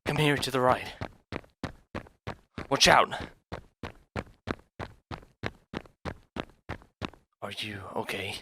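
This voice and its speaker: A man's voice: medium pitch, a little slow, noisy background, close to an everyday voice. A man playing an eager, obsessive young thief in an ASMR roleplay, speaking in an urgent, stammering, clingy way.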